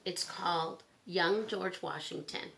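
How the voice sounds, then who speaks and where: A woman reading a children's book aloud in a simple, measured storytelling manner with pauses. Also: on the low side, vocal fry, fairly bright tone, slight background noise, low-quality recording.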